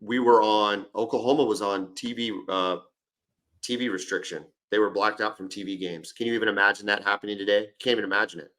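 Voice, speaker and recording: Lower-voiced man in his forties telling stories. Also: fairly even intonation, a little fast, fairly bright tone. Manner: eager storyteller, jumps in and interrupts. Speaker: podcast co-host. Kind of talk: casual sports chat.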